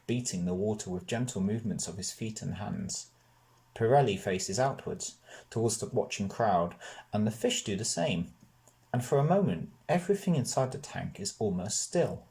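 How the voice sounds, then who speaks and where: A man narrating a children's novel in an expressive storybook style. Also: lowish voice.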